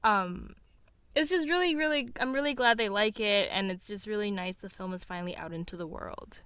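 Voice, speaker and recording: A woman's voice: medium pitch, a little fast, fairly bright tone, clean tone, dry recording, clean studio sound. A woman co-hosting a podcast, moving between conversation and explanatory narration. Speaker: podcast co-host.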